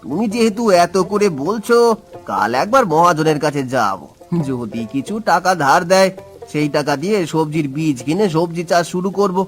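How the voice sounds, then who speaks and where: Gentle, humble farmer, fairly high-voiced played by a man. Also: lively intonation, normal speed, soft background music, close to an everyday voice. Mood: hopeful, hesitant. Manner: affectionate, humble. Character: poor, gentle village farmer, husband and father. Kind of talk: animated folk-tale cartoon dialogue.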